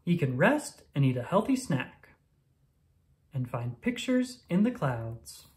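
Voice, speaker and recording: A woman with a low-pitched voice narrates a children's picture book. Her intonation swings widely from phrase to phrase, and she delivers each line deliberately and expressively for young listeners. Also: big pitch swings, a little mellow. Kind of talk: scripted read-aloud narration of a picture book.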